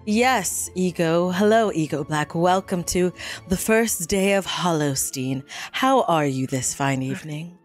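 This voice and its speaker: A woman livestreaming as a theatrical, witchy horror hostess, with dramatic, drawn-out, formal phrasing and a coy temper. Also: fairly low pitch, close to an everyday voice. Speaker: game streamer. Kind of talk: livestream chat in a put-on horror persona. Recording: music behind the voice.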